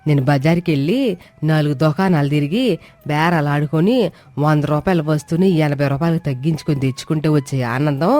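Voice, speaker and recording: A woman's voice: low-pitched, mellow, no echo, close to an everyday voice. Woman acting a bossy, scolding village mother-in-law, music behind. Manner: scolding, sarcastic, rhetorical questions. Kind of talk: acted dialogue in an animated moral story.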